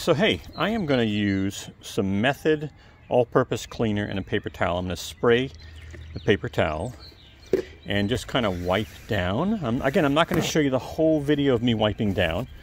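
A man with a soft, calm voice chatting casually to viewers while cleaning something outdoors on a lawn, filmed on a close-held camera. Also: medium pitch, a little hiss or hum. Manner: chatty, rambling asides to the viewer. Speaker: video creator. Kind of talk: casual how-to talk while working. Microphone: camera held close, point of view.

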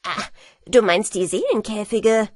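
A woman's voice: mid-range voice, expressive, measured pace, dry recording, studio quality. A woman voicing a genial old male merman who talks in a coaxing, matter-of-fact way, reading a storybook. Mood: jovial. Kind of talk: storybook character voice.

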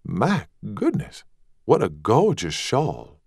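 A genteel young Southern belle from an audiobook, played by a man. The pitch is raised, and the manner is polite and full of curiosity. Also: wildly swooping pitch, slow, a little mellow, breathy edge, no echo, clean studio sound, exaggerated voice. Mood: delighted.

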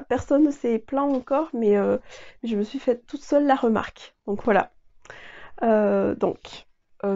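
A woman's voice: fairly high pitch, dark tone, muffled recording. A young woman with a cold, sounding nasal, chatting to camera in a sewing vlog with lots of fillers and restarts. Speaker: sewing vlogger. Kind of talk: casual talk to camera. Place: small bedroom sewing corner, indoors.

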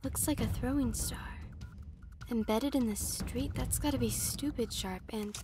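An actress playing a young, quippy, rambling superheroine in an audio drama. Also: female voice, on the high side, a little slow, very noisy, close to an everyday voice. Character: wisecracking young superheroine, lonely but cocky.

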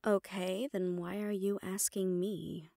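A woman's voice: medium pitch, flat delivery, slow pace, creaky, dark tone, dry recording, studio quality. A woman playing a tough, loyal assistant to a mafia boss in a casual, familiar audio roleplay. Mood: casual, warm. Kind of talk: intimate audio roleplay acting.

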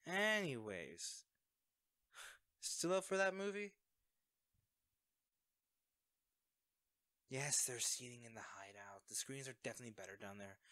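Man playing a sheepish, animated young superhero. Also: on the high side, dry recording, clean studio sound. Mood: teasing. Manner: hesitant, sighing, sheepish. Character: young male superhero, secretly the Blur, sheepish and caring best friend. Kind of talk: audio roleplay acting, conversational.

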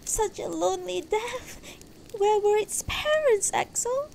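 A woman's voice: measured pace, slight background noise. A woman voicing an anxious, tender elderly wife in a fantasy story, pleading and distressed. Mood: tearful, insistent. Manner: pleading, distressed, high-voiced.

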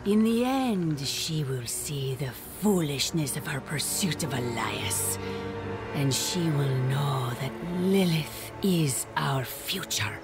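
A woman playing a menacing, zealous witch devoted to a demon queen in a dark fantasy game, taunting and ominous. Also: low-pitched, animated, slow pace, over music.

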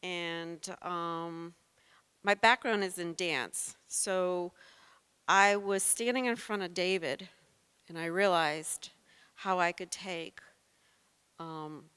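A woman artist speaks on a gallery panel with a somewhat low, smooth and resonant voice. She tells her story in a reflective, unhurried way, holding a microphone. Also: a bit bright. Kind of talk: personal reflection in a panel discussion. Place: art gallery, indoors. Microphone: handheld mic.

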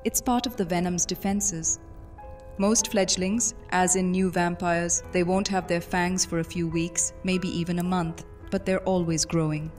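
A woman's voice: lowish voice, fairly even intonation, a little slow, a little mellow, close to an everyday voice. A woman playing a motherly vampire commander, fond and teasing, in an audio drama. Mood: explaining, amused. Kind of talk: immersive audio drama roleplay. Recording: music behind the voice.

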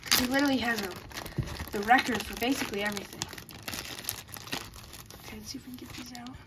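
A woman's voice: on the high side, fairly even intonation, fast, noisy background. A woman chatting casually as she opens trading cards, stumbling over player names. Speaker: card collector. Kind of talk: casual card-opening commentary. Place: indoors at a table.

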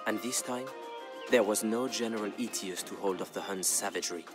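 A middle-aged man giving a scripted, dramatic historical narration in a solemn, measured storytelling manner. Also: on the low side. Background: background music.

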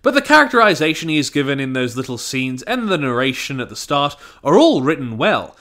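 Male reviewer, bright forceful voice, highly animated delivery, blunt and sweary scripted narration. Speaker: reviewer, channel host.

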